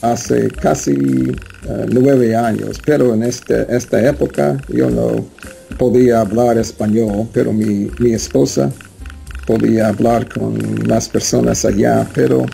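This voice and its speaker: Halting American man speaking learner Spanish. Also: medium pitch, fairly even intonation, gravelly, warm tone, faint music behind. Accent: American English. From United States. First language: English. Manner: halting, hesitant, with many fillers and restarts. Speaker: interview guest, Spanish learner. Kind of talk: casual interview chat.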